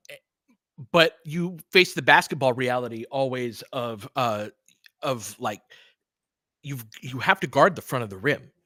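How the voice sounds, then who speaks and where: A man's voice: medium pitch, a little slow, pushed and bright, no echo, clean studio sound. A man giving casual fan commentary and basketball analysis in an informal, conversational way, often checking for agreement.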